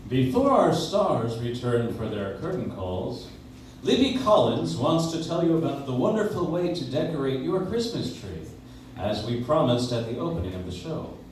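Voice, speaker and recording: A man's voice: mid-range voice, big pitch swings, moderate pace, darkish tone. A man announcing in 1940s radio style, reading a script live on stage, recorded from far off in a very echoey room. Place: live stage in a coffee shop. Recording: very echoey room, distant, faint noise.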